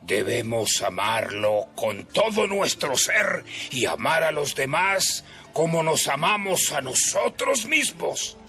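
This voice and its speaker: An earnest, emphatic teacher of religious law, acted by a man in an audio Bible drama. The voice is slow and gravelly, with lively swings in pitch. He sounds deliberate throughout. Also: exaggerated voice.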